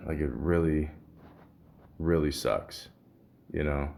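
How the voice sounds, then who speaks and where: A man speaks in a low, deep voice that sounds a little muffled from a sore throat. He hosts a podcast alone, talking in a loose, rambling, grumbling way, with casual swearing mixed in. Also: takes it slowly, gravelly, darkish tone. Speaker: podcast host. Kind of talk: casual solo podcast rambling, reading symptom lists aloud.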